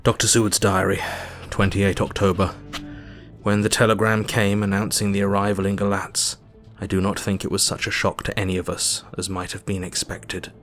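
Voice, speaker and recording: A man playing a grave Victorian doctor narrating his diary in a measured, literary way for an audio drama. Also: low-pitched, little pitch movement, a bit bright, faint music behind. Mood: solemn, reflective.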